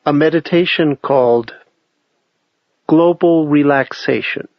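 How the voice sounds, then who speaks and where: A man leading a guided meditation with calm, measured instruction and long pauses between phrases. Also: a little high, dry recording, muffled recording.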